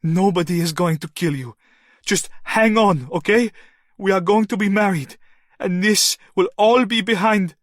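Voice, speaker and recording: A frantic young man fires urgent, shouted questions, his voice strained high above normal, as a male narrator acts him out. He sounds desperate and breathless with fear. The recording is close and dry. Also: a little slow, dry recording.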